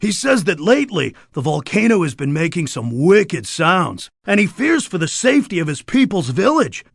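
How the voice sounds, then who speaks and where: An actor playing a laid-back, boastful surfer dude creature in a cartoon game, talking in upbeat beach slang. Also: male voice, high-pitched, very animated, normal speed, gravelly, dry recording, studio quality, exaggerated voice. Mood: casual, conspiratorial. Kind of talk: animated game character dialogue.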